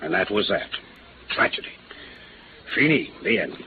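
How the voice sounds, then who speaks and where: Troubled old executive on crackly radio. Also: male voice, on the low side, big pitch swings, normal speed. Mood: proud, sorrowful. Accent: American. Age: older. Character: troubled, grave old insurance executive, set in his ways. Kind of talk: 1950s radio drama acting. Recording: noisy, phone-like old radio recording.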